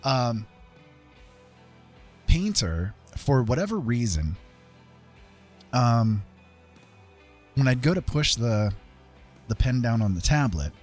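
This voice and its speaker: A man's voice: medium pitch, sing-song, slow pace, pauses often, a little mellow, faint music behind. A middle-aged man chatting casually on an art livestream in a self-deprecating, hesitant, informal tutorial manner. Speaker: livestreaming digital artist.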